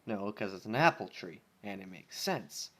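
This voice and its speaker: A man's voice: lowish voice, expressive, normal speed, fairly bright tone. A man reading fan fiction casually, breaking off into exasperated, sarcastic asides.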